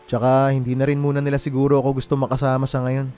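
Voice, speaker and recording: A heartbroken young man played by a male actor confides plaintively in a radio drama. His medium-pitched voice has a Filipino accent and carries the hurt of a betrayed boyfriend. Music plays faintly behind him. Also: monotone, fast talker, mellow, lightly acted. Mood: downcast. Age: young adult.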